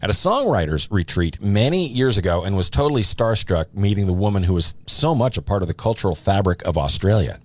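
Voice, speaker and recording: A man's voice: on the low side, lively intonation, fairly quick, hardly any pauses, a little mellow. An American man presenting on country music radio in a chatty, casual, promotional style into a studio microphone. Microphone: studio broadcast microphone. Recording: radio aircheck with music behind.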